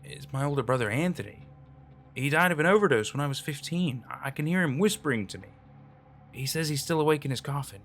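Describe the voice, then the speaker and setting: A man's voice: medium pitch, animated, brisk, a bit bright, slight background noise. A man voicing a panicked, paranoid young man who hears voices, whispering and screaming in fear, with faint music behind. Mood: terrified whisper. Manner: frantic, pleading, screaming.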